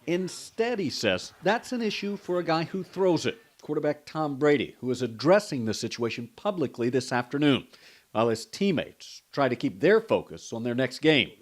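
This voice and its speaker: A man's voice: a little high, very animated, normal speed. An American man narrating a scripted television news package as a correspondent.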